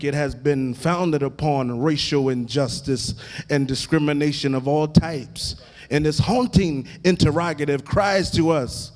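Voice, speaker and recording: A fairly high-voiced American man preaches in a big hall with long reverb. He is a Baptist pastor delivering an impassioned sermon. His delivery is emphatic and oratorical, leaning on deliberate repetition and a building cadence. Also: a little mellow. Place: large indoor hall, live event.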